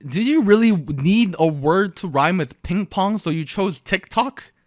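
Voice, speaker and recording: A man's voice: high voice, animated, unhurried, dry recording, studio quality. A man whose first language is Korean, co-hosting a podcast in casual chat, opinionated and joking. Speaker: podcast co-host.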